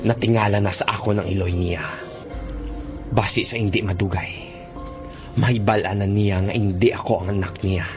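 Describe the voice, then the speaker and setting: A man's voice: fairly low pitch, lightly acted. A man acting in a radio drama as a secretive, scheming harpy in human disguise, speaking his thoughts. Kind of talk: radio drama inner monologue.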